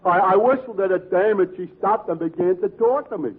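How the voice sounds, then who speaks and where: Tinny old radio, slow dopey lovelorn sidekick, high voice, naive and hesitant. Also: male voice, breathy edge. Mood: sheepish. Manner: slow-witted, hesitant, earnest. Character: dim-witted, lovelorn male tavern sidekick, naive and gentle. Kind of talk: 1940s radio comedy acting. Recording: tinny vintage radio broadcast.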